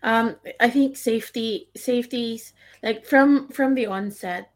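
A woman's voice: fairly high pitch, a little slow. A woman in a casual panel conversation, speaking tentatively and repeating her phrases as she goes.

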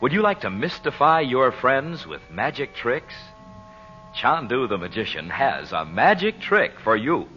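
An American man announcing and pitching on old-time radio in an emphatic, salesmanlike style, speaking straight to the listener. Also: very animated, unhurried, bright, forceful tone, soft background music. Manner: salesmanlike, emphatic, direct address. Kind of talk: 1940s radio advertising announcing. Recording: dull vintage radio broadcast recording.

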